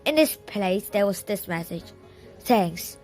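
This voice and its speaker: A woman's voice: medium pitch, lively intonation, unhurried, soft background music. A woman reading a children's novel aloud as a first-person storyteller. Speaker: audiobook reader.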